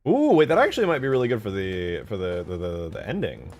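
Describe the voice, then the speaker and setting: A man with a low, fry-heavy voice talks casually to himself as he works through game sounds. His delivery is hesitant and muttered, yet it moves up and down in a lively way. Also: quick pace, noisy background. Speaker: game modder and video creator. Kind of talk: casual thinking aloud while testing game sounds.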